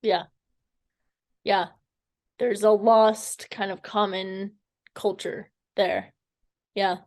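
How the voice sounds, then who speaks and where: Female interviewer, medium pitch, unhurried delivery, conversational. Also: fairly even intonation, a little mellow.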